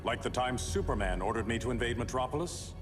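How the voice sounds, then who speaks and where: A man's voice: medium pitch, lively intonation, quick pace, natural voice. A man playing a proud, stern undersea king in a video game, speaking formally and imperiously to outsiders he distrusts. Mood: stern, defiant. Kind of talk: video game drama acting. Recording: long hall reverb, music behind.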